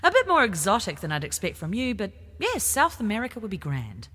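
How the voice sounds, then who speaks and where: A woman's voice: mid-range voice, wildly swooping pitch, brisk, bright, forceful tone, breathy edge, exaggerated voice. A woman playing a restless, wry field agent in an audio drama, with a theatrical, sardonic manner. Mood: wistful, teasing. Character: restless, wry female field agent, bored of desk work. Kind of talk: full-cast audio drama acting. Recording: long reverberant hall echo.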